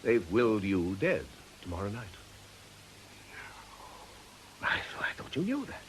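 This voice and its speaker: A concerned, reasonable priest in a radio drama. His voice is on the low side and very varied in pitch, reassuring and tactful yet ready to challenge, with a slight hiss on the recording. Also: very animated, fairly quick. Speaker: actor. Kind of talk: British radio drama acting.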